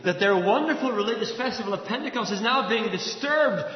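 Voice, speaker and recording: High-pitched Irish preacher in an echoey hall. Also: male voice, lively intonation, fairly quick. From Ireland. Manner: dramatic storytelling with repeated words and rhetorical questions. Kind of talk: sermon, expository storytelling from a Bible passage. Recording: echoey large hall, slightly dull sound.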